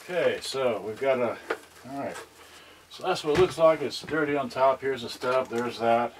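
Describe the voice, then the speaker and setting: A man narrating an unboxing off the cuff in a casual, halting way, thinking aloud in broken fragments. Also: mid-range voice. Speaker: vlogger unboxing and testing a vintage cassette radio. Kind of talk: unscripted casual narration while handling items. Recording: distant mic, loud noise, clicks and handling.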